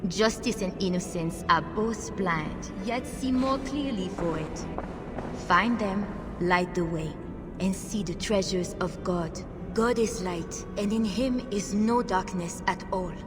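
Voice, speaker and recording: Woman acting cryptic female oracle, solemn, unhurried, scriptural game drama, heavy music. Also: medium pitch, natural voice. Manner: solemn, oracular. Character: blindfolded female mystic, serene and cryptic, speaking scripture. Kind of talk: video game cutscene acting. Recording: loud music over the voice.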